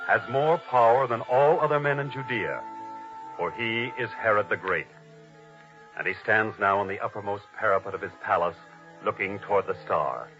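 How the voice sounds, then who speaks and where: A man narrating a scripted 1950s radio drama in a solemn, formal manner. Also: on the low side. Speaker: announcer and narrator. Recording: dull old radio recording. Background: music.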